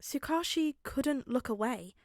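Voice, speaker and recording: Woman reading fanfic narration, slightly high voice, dry close sound. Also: lively intonation, measured pace, no echo. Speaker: podfic narrator. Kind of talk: scripted fiction narration.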